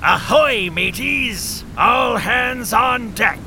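A gravelly, bellowing pirate captain, as a man puts him on, booming out slow orders that climb high and swing wildly in pitch. Faint rumbling noise hums behind him. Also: lively intonation, sharp tone, full character voice. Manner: bellowing, hammy. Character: gruff old sea-dog pirate captain.

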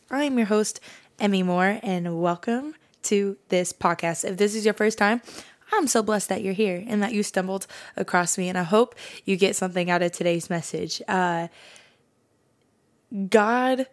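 A young woman hosting a faith podcast, her voice raspy and hoarse from late nights, talking in a rambling, candid, casual way. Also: medium pitch. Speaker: podcast host.

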